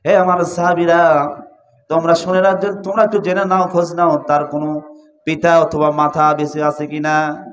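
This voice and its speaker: A man's voice: a little high, level tone, moderate pace, voice pushed down, close to an everyday voice. A man preaching, speaking as a revered religious leader who admonishes solemnly and gives commands.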